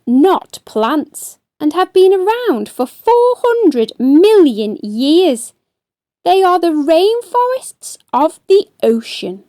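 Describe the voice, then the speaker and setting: A woman's voice: high voice, a little mellow, no room sound, studio quality. A woman reading aloud to young children as a storytime host, coaxing them along with questions. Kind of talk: children's read-aloud narration.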